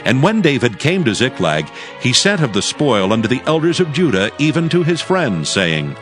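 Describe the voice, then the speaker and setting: A man narrating dramatized scripture in a solemn, measured, formal way. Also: medium pitch, big pitch swings. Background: music.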